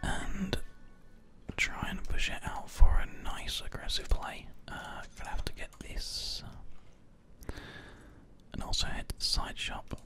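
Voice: slow pace, music in the background. A person whispering ASMR-style gameplay commentary as host, close to a binaural microphone, with keyboard and mouse clicks behind. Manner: hushed whispering throughout. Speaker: gaming host.

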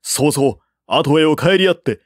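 Alarmed loyal soldier, acted by a man, high-pitched calls. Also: lively intonation, unhurried, dry recording, studio quality. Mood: alarmed, urgent. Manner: urgent calling out. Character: alarmed, loyal male soldiers pleading with their general. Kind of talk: dramatic audiobook character reading.

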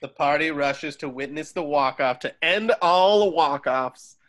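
A man with a slightly high voice reads scripted stage directions. He narrates as part of an amateur table read held over a video call. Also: a little slow, fairly bright tone.

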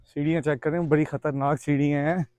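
A high-voiced man in his thirties hosts a casual travel vlog. He talks like a showman walking viewers through a place, animated and quick to exclaim. The delivery is informal and addressed straight to the audience. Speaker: travel vlogger. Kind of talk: casual vlog tour narration. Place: outdoors on a wooded road, then inside a cottage.

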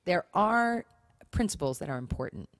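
A woman with a low voice that rises and falls animatedly as she co-hosts a podcast. She talks casually but earnestly, stressing her points and posing rhetorical questions. The sound is dry and close. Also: very animated, normal speed, no echo. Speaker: podcast co-host. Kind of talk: casual conversational talk on marriage and faith.

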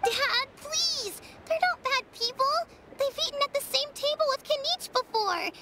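A woman voicing a squeaky, childlike girl character in a video game. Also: animated, normal speed, heavy background noise. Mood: earnest. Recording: game audio with loud music. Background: loud game music.

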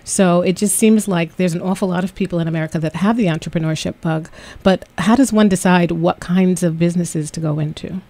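A woman's voice: lowish voice, brisk, warm tone. A woman hosting a business talk radio show, chatty, with frequent ums as she reads out figures and questions her guest. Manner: chatty, many ums, reads stats aloud. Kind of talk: radio interview. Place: radio studio.

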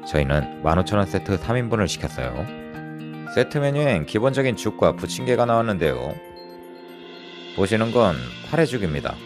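A man's voice: on the low side, sing-song, brisk. A man hosting a food review vlog with scripted voice-over narration. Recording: loud music behind the voice. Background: music.

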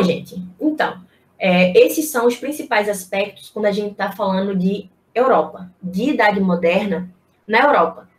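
A young Brazilian woman giving an informal, explanatory online history lecture, posing rhetorical questions as she teaches over a video call. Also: medium pitch. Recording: echoey room on video-call microphone.

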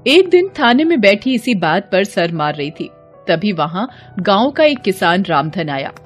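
A woman's voice: medium pitch, very animated, measured pace, faint music behind. A woman giving scripted narration for a horror story.

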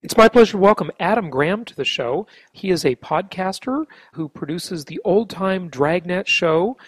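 A man with a husky voice hosting a business podcast, introducing and interviewing. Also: high voice, animated, moderate pace, dry recording.

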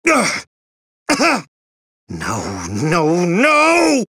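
A man voicing a ruthless, anguished undead warrior in a video game, grim, intense and husky. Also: on the high side, huge pitch swings, very slow, sharp tone, dry recording, clean studio sound, full character voice. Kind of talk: video game battle acting.

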